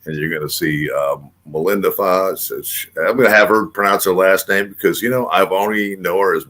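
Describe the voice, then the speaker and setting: Older male host, very low voice, casual and rambling with lots of fillers. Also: normal speed, a bit bright. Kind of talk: casual radio show hosting.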